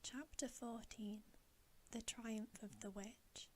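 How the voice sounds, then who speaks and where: A woman reads a story for ASMR in a hushed, breathy whisper. Her pace is very slow and gentle, and her delivery stays flat and even, with long pauses. A faint hiss sits behind the voice. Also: on the high side. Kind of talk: ASMR bedtime story reading.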